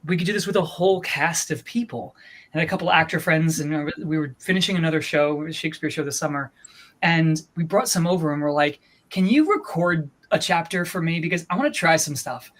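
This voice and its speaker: This is a voice actor and audiobook producer giving an informal presentation. The pitch sits in a middle range, and the delivery is conversational and enthusiastic. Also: brisk, a bit bright.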